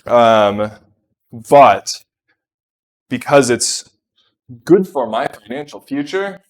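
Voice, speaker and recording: A man who has just turned thirty, talking in a relaxed, rambling way while playing golf outdoors. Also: medium pitch, slow. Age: 30s. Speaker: golf stream guest.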